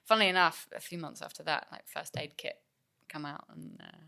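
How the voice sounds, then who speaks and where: A woman's voice: sits low, huge pitch swings, a little fast, creaky, pushed and bright, clean tone. A young woman musician from England chatting casually in an interview, with lots of fillers and restarts. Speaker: musician guest.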